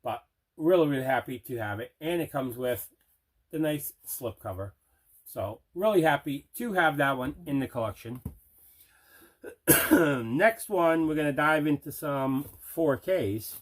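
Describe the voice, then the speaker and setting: A man's voice: a little high, expressive, measured pace. A man hosting a casual unboxing chat, talking with plain, repetitive enthusiasm about items in a collection. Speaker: host of a movie collection unboxing show.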